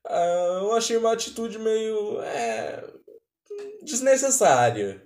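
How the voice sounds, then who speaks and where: A man's voice: high-pitched, wildly swooping pitch, slow, a little mellow. A man with a Brazilian accent giving casual, conversational reaction commentary as the host of a video. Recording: roomy, echoey sound.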